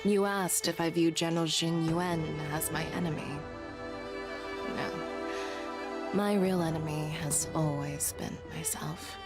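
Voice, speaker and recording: A woman's voice: sits low, fairly even intonation, unhurried. An actress playing a bold female general in a video game, commanding, blunt and nonchalant. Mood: resolute. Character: bold, brisk, self-assured female general and warrior. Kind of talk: scripted game voice acting. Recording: game music behind.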